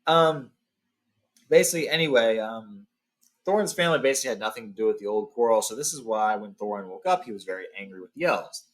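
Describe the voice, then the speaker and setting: A man's voice: lowish voice, animated, fast. A man hosting a read-along, narrating a fantasy storybook with informal recaps.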